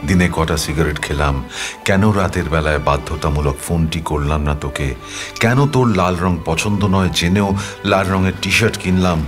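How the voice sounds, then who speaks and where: A man's voice: low voice, a little fast, natural voice. A man narrating an audio story as a wistful young man writing a confessional love letter, speaking in a reflective, intimate way over music. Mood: rueful. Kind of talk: first-person dramatized storytelling, read as a letter.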